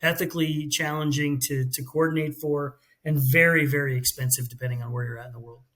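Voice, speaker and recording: American man, a bit higher voice. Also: fairly even intonation, a little fast, fairly bright tone. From United States. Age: middle-aged. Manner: fluent, explanatory, with some restarts. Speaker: company CEO, guest. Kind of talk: business interview.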